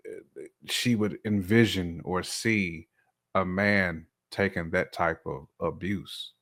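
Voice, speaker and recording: American guy podcast cohost, low voice, chatting casually but emphatic, repeats himself to drive it home. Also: slow pace, a bit bright. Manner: emphatic, repeats points, acts out imagined quotes. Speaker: podcast co-host. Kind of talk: casual opinionated relationship discussion.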